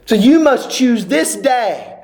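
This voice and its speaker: Male preacher, high pitch, urgent sermon delivery with rhetorical questions. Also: sing-song, takes it slowly, a little mellow. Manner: preaching with rising intensity, repetitive rhetorical questions. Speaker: pastor.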